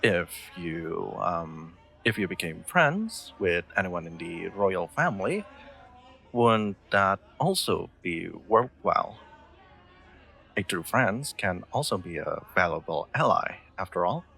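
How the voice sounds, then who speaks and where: A man's voice: sits low, sing-song, slow, vocal fry, bright, forceful tone, husky, soft background music, exaggerated voice. A man playing an awkward, sweet young royal knight in a roleplay audio drama, speaking formally with hesitant stammers and nervous laughter. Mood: earnest, advising.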